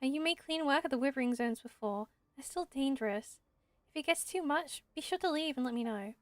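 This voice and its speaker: A woman's voice: high-pitched, animated, fairly quick. A woman voicing an earnest, caring young female forest ranger in video game dialogue, polite and encouraging. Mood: friendly, earnest. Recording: music behind the voice.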